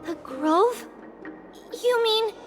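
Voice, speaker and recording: A woman's voice: very high voice, very slow, big-room sound, music in the background, full character voice. A woman voicing a tiny, sweet, earnest little girl in a video game, with a childish, wide-eyed, hesitant delivery. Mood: worried. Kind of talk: cute video game character acting.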